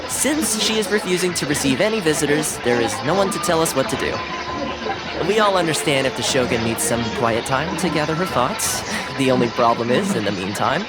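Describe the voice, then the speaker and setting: A man's voice: a little high, animated, moderate pace, close to an everyday voice, rough recording. A man voicing a friendly young helper in a video game, easygoing and explanatory. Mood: concerned. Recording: game dialogue over loud music.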